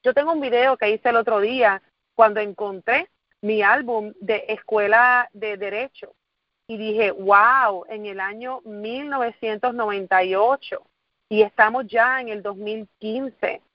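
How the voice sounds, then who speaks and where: A woman's voice: on the high side, measured pace, dry recording, muffled recording. A Puerto Rican woman in her forties giving a motivational presentation, earnest and often repeating words for emphasis while asking the audience questions. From Puerto Rico. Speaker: motivational speaker.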